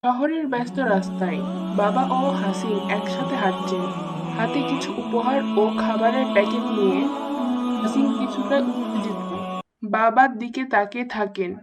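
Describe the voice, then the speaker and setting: A woman's voice: fairly high pitch, moderate pace. A woman reading scripted narration for a moral story. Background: music.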